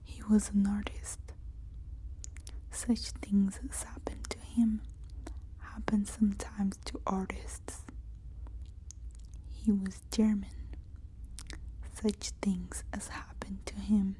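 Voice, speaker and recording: Whispering woman reading a story very slowly. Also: mid-range voice, flat delivery, dark tone, rough recording. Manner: slow, deliberate delivery in short, separated phrases. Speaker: narrator of horror story readings.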